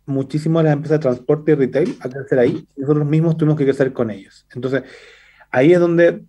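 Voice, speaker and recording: A man in his thirties with a Chilean accent, a startup founder speaking over a video call, fluent and quick with many fillers. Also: steady tone, dark tone. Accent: Chilean Spanish. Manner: fast, fluent pitch, many fillers like "entonces" and "eh". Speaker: startup CEO guest. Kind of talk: business interview by video call. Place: indoor room.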